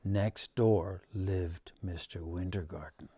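Unhurried, low-voiced older man reading aloud. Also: darkish tone, breathy edge, dry recording. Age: 60s. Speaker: storybook reader. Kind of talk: expressive children's story narration. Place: living room at home, on a sofa.

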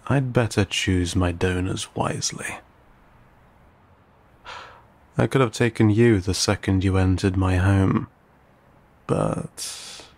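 A man playing an ancient, courteous, guarded vampire in an intimate audio roleplay, speaking in formal, old-fashioned, measured phrases with some hesitation. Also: darkish tone, exaggerated voice. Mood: wry, musing. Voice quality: low, gravelly, breathy.

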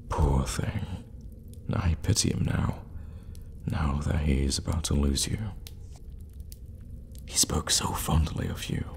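A man playing an evil, sadistic warlock in an ASMR fantasy roleplay, teasing and menacing, often slipping into a breathy whisper. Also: a bass voice, heavily acted. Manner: slow, teasing, drawn-out hums and dark chuckles.

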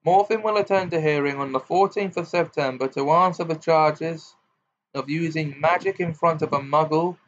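A man's voice: on the high side, normal speed, voice pushed up, lightly acted. A man voicing a formal male official who speaks hastily and then firmly. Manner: hasty, then firm and formal. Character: flustered but dogged male official. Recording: dull lo-fi recording.

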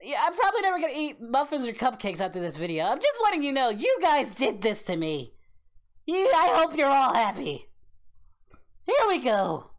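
A man voicing an excitable, distraught cartoon martial-arts hero as a reaction host, melodramatic, exclamatory and given to repeating himself. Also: very high-pitched, normal speed, full character voice. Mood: dreading. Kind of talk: comic in-character reaction commentary.

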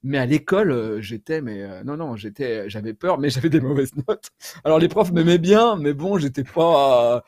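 A man in his forties chatting casually on a video call, self-deprecating and halting as he tells stories about himself. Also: medium pitch, animated, a little mellow, no room sound, studio quality. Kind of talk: casual personal anecdotes, round-table chat. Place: small room at home, indoors.

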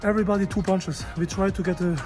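A man's voice: sits high, fairly even intonation, fast talker, darkish tone, soft background music. A man in his thirties vlogging casually from an open-air Muay Thai gym, blunt and joking, in non-native English. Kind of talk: casual vlog talk.

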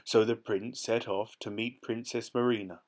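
A man calmly reading a fairy tale aloud from a storybook. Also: on the low side, moderate pace. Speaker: storybook reader. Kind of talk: gentle read-aloud storytelling.

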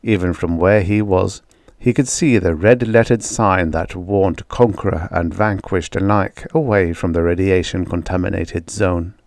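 A man's voice: low voice, fairly even intonation, measured pace, gravelly, hardly any pauses, darkish tone, no echo, studio quality. A man reading a vintage short story aloud as scripted audiobook narration, with a dramatic storytelling delivery.

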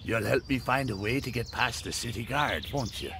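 An actor playing a shady, flattering old seaman in a video game, with a sly, ingratiating manner. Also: mid-range voice, normal speed, gravelly, noisy background. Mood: wheedling. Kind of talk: video game dialogue acting.

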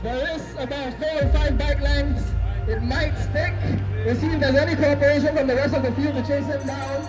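A man giving brisk live play-by-play commentary on a cycling race. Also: extremely high pitch, fairly even intonation, over music.

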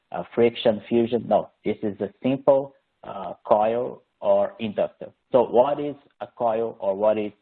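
Brazilian-accented engineer in his thirties, lowish voice, halting friendly delivery, tinny call audio. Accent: Brazilian Portuguese. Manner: hesitant, many "uh" fillers, friendly and explanatory. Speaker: engineer presenting a webinar. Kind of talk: technical webinar presentation. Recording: phone-like narrowband audio.

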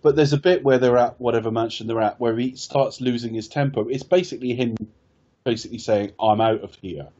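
A man's voice: mid-range voice, steady tone, brisk, dark tone. A man from Ireland hosting a casual film review podcast, rambling and wry, often correcting himself. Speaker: podcast host. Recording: dull lo-fi podcast audio.

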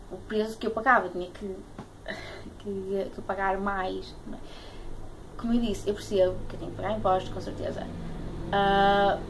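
A woman in her late twenties with a European Portuguese accent, talking casually to camera as a vlogger, hesitant and searching for words. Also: mid-range voice. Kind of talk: casual talk to camera, airing a pet peeve. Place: small room at home, indoors. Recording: clearly noisy home webcam audio.

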